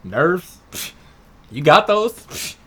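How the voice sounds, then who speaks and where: A man's voice: on the high side, sing-song, drawn-out words, crowd noise. A man co-hosting a podcast, chatting casually and emphatically in slangy speech, often repeating his phrases. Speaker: podcast co-host. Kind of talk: casual chat.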